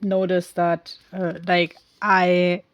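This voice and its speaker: A woman recapping a film in a casual, rambling way, with many pauses and fillers. She speaks English as a second language and often goes off on tangents. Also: on the low side, level tone, no room sound, lo-fi sound. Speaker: film reviewer.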